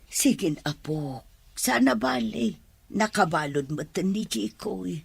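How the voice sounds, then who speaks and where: A woman playing an elderly, coaxing, stubborn grandmother in a radio drama, with drawn-out, emphatic delivery. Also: mid-range voice, animated, gravelly, breathy edge, dry recording, full character voice. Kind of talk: Ilocano radio drama acting.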